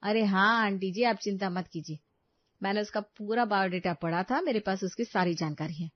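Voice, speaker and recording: A woman's voice: medium pitch, moderate pace, dry recording, dull audio, lightly acted. A woman playing a young working daughter-in-law in a cartoon story, chatty, polite and given to complaint. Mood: weary, patient.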